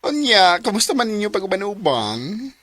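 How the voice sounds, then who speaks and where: An actress playing a lively, coaxing young best friend in a radio drama, with a Cebuano Filipino accent. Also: female voice, mid-range voice, normal speed, over loud music, lightly acted. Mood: teasing, persuasive. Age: young adult.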